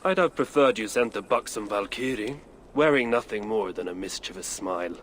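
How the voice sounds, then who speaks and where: An actor voicing a crude, ill-tempered old male dwarf with a gruff, sardonic way of talking in a video game cutscene. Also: on the low side, lively intonation, normal speed, a little hiss or hum, natural voice. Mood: wry banter.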